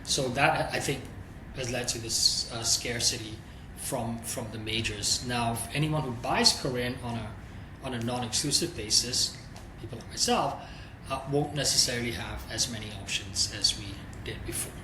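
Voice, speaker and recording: A man of middle pitch talks through business questions candidly, working out his thoughts aloud. The recording has a roomy echo and a clearly audible background hum. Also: moderate pace. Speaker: streaming executive guest. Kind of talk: conversational interview answers.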